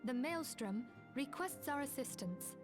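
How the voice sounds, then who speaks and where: A woman voicing a composed, formal female leader in a fantasy video game, speaking in a grave, measured way over music. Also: high voice, expressive. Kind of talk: scripted fantasy game dialogue.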